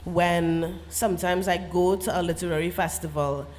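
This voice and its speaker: A woman's voice: on the low side, fairly even intonation, normal speed, a little mellow. A woman novelist with a Trinidadian accent, talking reflectively and earnestly on a festival panel, laughing often and slipping into creole phrasing. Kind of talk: literary festival panel talk.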